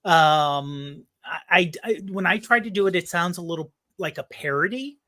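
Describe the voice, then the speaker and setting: A man's voice: a little high, normal speed, pushed and bright. A man with a light Pittsburgh accent, a podcast co-host chatting casually with a hesitant, self-deprecating manner. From Pittsburgh, USA.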